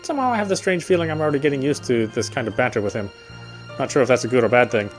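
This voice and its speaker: A man's voice: mid-range voice, racing, soft background music. A man reading visual novel narration aloud, sometimes stumbling and rereading phrases.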